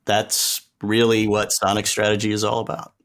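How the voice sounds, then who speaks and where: A middle-aged or older man giving thoughtful, articulate interview answers as a podcast guest, in measured, reflective phrasing. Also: fairly low pitch, completely flat delivery. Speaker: podcast guest, sonic strategy expert.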